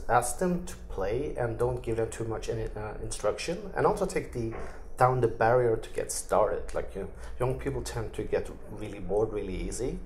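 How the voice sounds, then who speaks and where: A man's voice: lowish voice, a little mellow, a little hiss or hum. A middle-aged man from Norway with a Norwegian accent, answering interview questions in loose, filler-heavy phrasing. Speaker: interviewee, tech executive.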